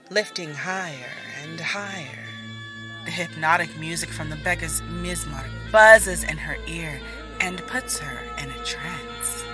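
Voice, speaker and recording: A woman narrating a fantasy audio story in a measured, soft-spoken manner. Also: low-pitched. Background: faint music.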